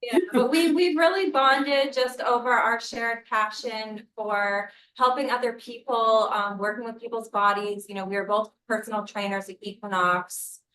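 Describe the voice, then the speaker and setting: A woman's voice: fairly high pitch, fairly even intonation, normal speed, hardly any pauses, pushed and bright, slight background noise. Earnest woman trainer in an echoey room. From New Hampshire, United States. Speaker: personal trainer, gym co-owner. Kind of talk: casual interview talk.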